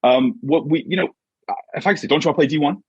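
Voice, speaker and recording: A husky-voiced man giving an emphatic, conversational motivational talk as a coach and podcast guest, often checking in with short rhetorical questions. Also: a little high, fast talker, darkish tone, no room sound. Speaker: podcast guest, sports mindset coach and author. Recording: dull, muffled lo-fi audio.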